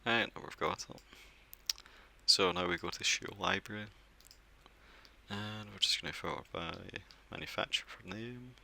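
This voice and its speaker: Low gravelly man walking through software. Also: normal speed, pauses often. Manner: relaxed step-by-step explaining with fillers. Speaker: software tutorial presenter. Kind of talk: screen-recorded software walkthrough.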